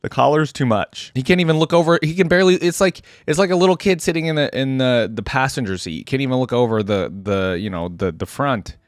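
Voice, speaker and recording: A man hosting a casual video game reaction, speaking off the cuff in a rambling, informal way. His mid-pitched voice moves up and down in an animated fashion, and the recording is close and dry. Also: brisk, hardly any pauses, no echo.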